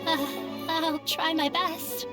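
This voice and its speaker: Shy, high-voiced young woman. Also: level tone, slow, over loud music. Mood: shy, hopeful. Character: shy but resolute young woman finding courage. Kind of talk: anime game voice acting.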